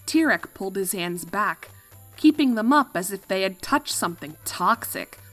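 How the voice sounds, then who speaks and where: A woman's voice: mid-range voice, expressive, normal speed, fairly bright tone, faint music behind, low-quality recording. A woman reading a fan fiction story as a scripted narration.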